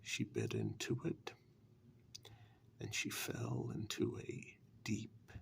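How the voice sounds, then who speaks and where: A man playing a menacing, mocking warlock in a soft-spoken ASMR dark fairytale role-play, with slow, taunting questions and sly reassurance. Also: very deep voice, very animated, exaggerated voice. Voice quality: breathy half-whisper with gravelly creak.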